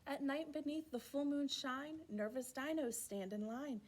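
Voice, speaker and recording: A woman hosting a children's storytime, reading a rhyming picture book aloud in an expressive, playful way with asides to young listeners. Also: high-pitched, measured pace. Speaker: children's library storytime host.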